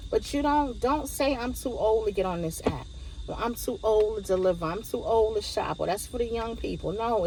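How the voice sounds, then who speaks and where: A woman's voice: mid-range voice, dark tone, poor audio. A woman in her fifties talking casually to viewers with practical advice, conversationally repeating phrases for emphasis. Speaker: gig delivery driver giving advice to viewers.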